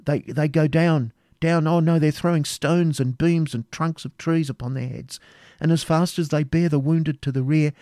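Man voicing an urgent, high-pitched anguished young woman. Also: mellow, dry recording, studio quality, lightly acted. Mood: horrified. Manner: breathless exclamations, urgent repetition. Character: anguished young woman watching battle, fervent and pious. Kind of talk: dramatic audiobook character reading.

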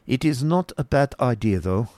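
Man playing a blustery baron, high and swooping. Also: expressive, moderate pace, dark tone, no room sound, close to an everyday voice. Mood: curious. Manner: exclamatory, emphatic, jumping up in pitch. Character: blustery storybook baron, frank and easily amused. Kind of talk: dramatized storybook reading.

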